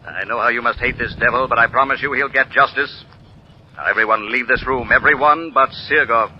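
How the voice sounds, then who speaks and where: An actor playing a stern, commanding crime-fighting avenger in old radio drama style. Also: male voice, mid-range voice, breathy edge, close to an everyday voice. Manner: commanding, deliberate. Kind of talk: 1940s radio drama acting. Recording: muffled, noisy old radio recording.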